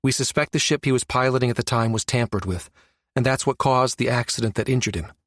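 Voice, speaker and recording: A man voicing a composed, frank female military doctor in an audiobook, measured and explanatory. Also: mid-range voice, dry recording, studio quality, lightly acted. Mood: explaining.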